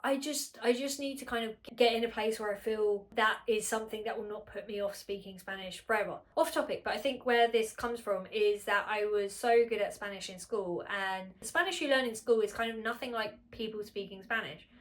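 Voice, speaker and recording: A woman's voice: medium pitch, brisk, few pauses. A woman with a slightly nasal, congested voice, chatting casually to camera at home, rambling, filling her talk with "like" and correcting herself. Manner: rambling, with many filler "like"s and self-corrections. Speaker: vlogger. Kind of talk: casual unscripted chat to camera.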